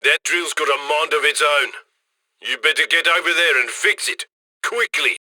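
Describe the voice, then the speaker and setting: A man's voice: fairly high pitch, moderate pace, sharp tone, dry recording. A man playing a cheerful, cheeky heist handler who chummily guides a robbery crew in a video game. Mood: brisk, scheming. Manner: chummy, cheeky, colloquial, gleeful about loot. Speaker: mission handler. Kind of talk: video game mission voice lines.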